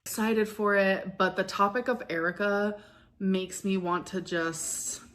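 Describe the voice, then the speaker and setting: A woman's voice: medium pitch, steady tone, measured pace, a bit bright. A woman chatting casually while answering fan questions in a vlog. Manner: chatty, rambling.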